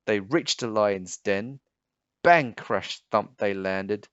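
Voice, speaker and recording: A man reading a children's story aloud in an expressive, emphatic, measured way. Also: on the low side, smooth, no echo. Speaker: storybook reader. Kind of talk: children's read-aloud narration. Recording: dull, slightly muffled sound.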